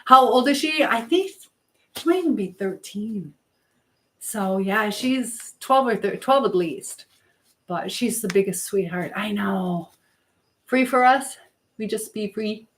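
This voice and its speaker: A woman talks casually as the host of a live stream, at a middling pitch. She rambles from one thing to the next, breaking off to read out and answer comments from viewers. It is loose, everyday conversation with no script. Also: expressive, takes it slowly. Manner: rambling, hopping between topics and replies.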